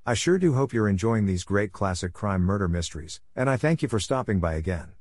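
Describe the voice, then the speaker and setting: A man's voice: fairly low pitch, expressive, brisk, a little mellow. A man presenting a classic radio series in a friendly, folksy, scripted style. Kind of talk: scripted introduction and sponsor read.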